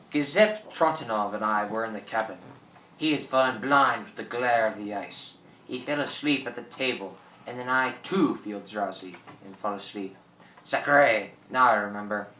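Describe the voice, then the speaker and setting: A man's voice: medium pitch, normal speed, pushed and bright, reverberant, distant mic, slight background noise, natural voice, rough recording. A man playing a fierce, proud French pirate in a radio play, with a French accent and theatrical broken English. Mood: startled, puzzled. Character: fierce, proud French pirate thawed from fifty years frozen. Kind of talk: amateur radio drama acting.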